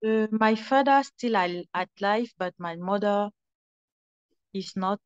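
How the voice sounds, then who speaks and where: A woman's voice: medium pitch, smooth. Hesitant Cameroonian woman learning English. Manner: hesitant, halting, repeats corrections, drops into French. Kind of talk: practicing spoken English in a lesson. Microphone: video call. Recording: muffled video call audio.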